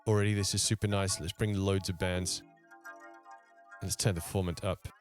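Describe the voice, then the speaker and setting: A man giving a casual software tutorial on music production, thinking aloud in short remarks as he works. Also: low voice, little pitch movement, normal speed. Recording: electronic music playing along with the voice. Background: electronic music, drums and synth tones.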